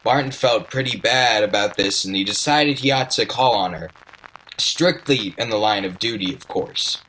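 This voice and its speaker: An actor playing a chatty male narrator of a radio play, halting and drifting folksily off the point. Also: lowish voice, background noise, close to an everyday voice. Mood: chatty, wry. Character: folksy, rambling male storyteller, wistful and chatty.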